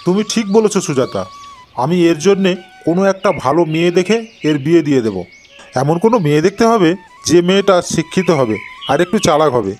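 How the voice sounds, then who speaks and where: An older village patriarch acted by a man in a cartoon folk tale. His voice sits fairly high for a man and he advises in a measured, paternal way, with light music behind him. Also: very animated, darkish tone. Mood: calm, concerned. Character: older village father and sage-like holy man, calm, advising. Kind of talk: Bengali cartoon moral-tale character acting.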